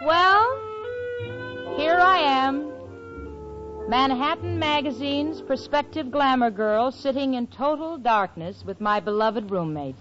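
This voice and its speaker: A woman's voice: sits high, animated, slow pace, a bit bright, faint music behind, full character voice. An actress playing a wry, long-suffering young woman in a 1940s radio sitcom. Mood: wry narration. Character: exasperated, wisecracking young female roommate. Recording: muffled old radio, studio audience, hiss.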